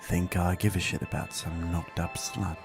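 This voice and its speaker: Man acting a cruel army officer, low pitch, gravelly creak, smug, taunting, coarse, audio drama villain over music. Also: steady tone, normal speed. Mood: sneering contempt.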